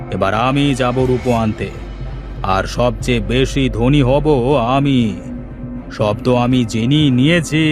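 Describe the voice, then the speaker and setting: A man voicing a greedy, envious village headman who mutters and gloats to himself in a children's fairy tale cartoon. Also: medium pitch.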